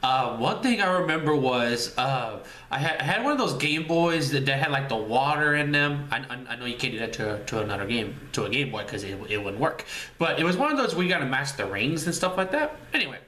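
A man's voice: medium pitch, lively intonation, fairly quick, pushed and bright. A man of thirty talking casually to camera as the host of his channel, rambling and repeating himself in an upbeat, goofy way. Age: 30s. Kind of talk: casual vlog talk to camera. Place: small room indoors. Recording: echoey room, faint room noise.

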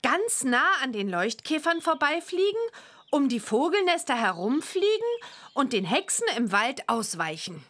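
A woman's voice: high-pitched, very animated, normal speed, fairly bright tone, heavily acted. An actress playing a cheerful, eager young girl witch in a children's cartoon game, speaking in a helpful, exclamatory way.

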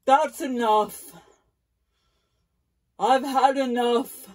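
Lady acting a defiant human standing up for the forest, dramatic, highish voice, real slow. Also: steady tone, a little mellow, full character voice. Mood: fed up, defiant. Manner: dramatic, emphatic, deliberate. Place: small plain room indoors. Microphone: camera a few feet away.

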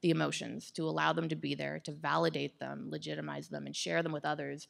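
A woman in her thirties speaking in an articulate, measured way as an interview guest on stage. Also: sits low, flat delivery, creaky, a bit bright. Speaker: guest expert on climate psychology. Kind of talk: thoughtful interview answers on stage. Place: indoor stage with wood-slat backdrop. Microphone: microphone on boom stand.

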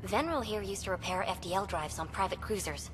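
Actress as a quick-talking, determined alien woman officer. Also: medium pitch, expressive, lightly acted. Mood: urgent, businesslike. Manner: businesslike. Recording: echoing hall, music underneath.

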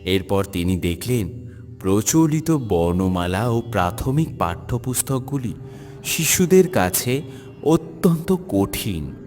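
A man narrating a scripted biographical story in a formal, measured manner. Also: on the low side, sing-song, darkish tone, in a large hall, faint music behind.

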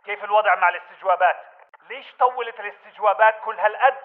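A man's voice: high voice, very fast. A man playing a jittery militant sentry with a Levantine Arabic accent, heard through a radio filter in a video game. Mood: worried. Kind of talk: video game combat chatter. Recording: tinny narrow radio transmission.

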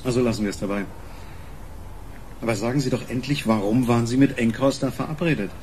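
A man's voice: mid-range voice, fast talker, a little mellow, a little hiss or hum, lightly acted. A man playing a pressing, impatient police interrogator in a radio crime drama, dry and insistent.

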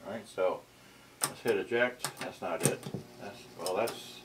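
An older man with a fairly low voice talks casually on a camera mic in a noisy room. He halts and mutters to himself as he works through a hands-on demonstration, with clicks of handling around him. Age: older, 60s. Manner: halting, muttering to himself while tinkering. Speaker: vintage boombox collector presenting his channel. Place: cluttered indoor storage room. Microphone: camera microphone, tripod then handheld.

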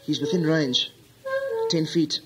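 A man's voice: fairly high pitch, steady tone, slow pace, over loud music, low-quality recording. A man playing a nervous, weak-willed accomplice in a melodramatic radio-play parody, with hesitant delivery. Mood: nervous, reluctant.

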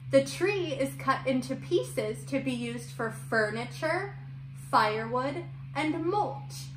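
A young woman reading and discussing a picture book for small children, in an expressive, questioning teacher's manner. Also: high-pitched, a little hiss or hum. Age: young adult. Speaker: children's story-time teacher. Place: room at home, indoors. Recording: roomy echo, music underneath.

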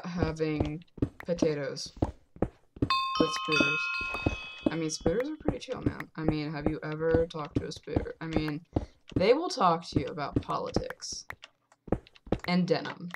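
A young woman with a fairly low voice, rambling absurd jokes while playing a game, with sudden loud outbursts. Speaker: gamer. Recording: loud background noise.

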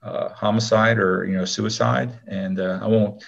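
Low-voiced man, casual interview guest. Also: fairly even intonation, moderate pace, vocal fry, dull audio.

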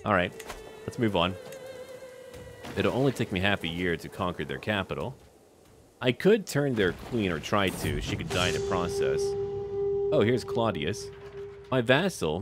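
A man with a low, deep voice commentates his strategy game as he plays. He thinks aloud in short, clipped remarks, speaking as the ruler he controls. Music plays behind his voice. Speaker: gaming video host.

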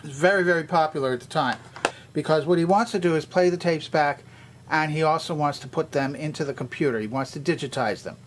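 Older male demonstrator, slightly high-pitched for a man, methodical how-to delivery. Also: a little fast, a little hiss or hum. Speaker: vintage electronics repairer and demonstrator. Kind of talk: explanatory hands-on product tour.

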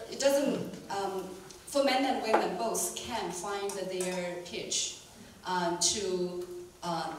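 A woman's voice: mid-range voice, a little hiss or hum. Woman interpreting in an echoey room. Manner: hesitant, lots of ums while translating. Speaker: moderator and interpreter. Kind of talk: live interpreting at a talk. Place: lecture room with an audience. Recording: echoey room, distant mic.

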